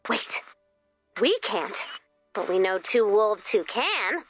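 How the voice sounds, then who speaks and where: A woman's voice: medium pitch, very animated, takes it slowly, no room sound, faint music behind. A woman playing a peppy, encouraging young mission leader in a video game, chatty and coaxing. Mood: planning. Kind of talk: video game character voice acting.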